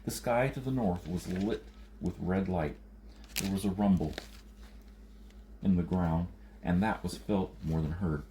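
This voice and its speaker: A man's voice: low voice, a little slow, pauses often, mellow, a little hiss or hum. A man reading a story aloud as scripted audiobook narration. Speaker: audiobook reader.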